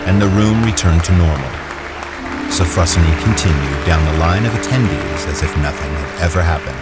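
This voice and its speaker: A man narrating an audio drama in a measured, descriptive way. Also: deep voice, a loud crowd. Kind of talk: scripted narration. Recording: music score under narration.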